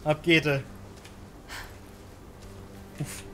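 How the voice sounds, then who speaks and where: A young man giving excited, reactive gaming commentary, often exclaiming and repeating words in bursts. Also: fairly high pitch, extremely slow, slight background noise. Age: young adult. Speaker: let's player. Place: indoor gaming setup. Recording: game music behind the voice.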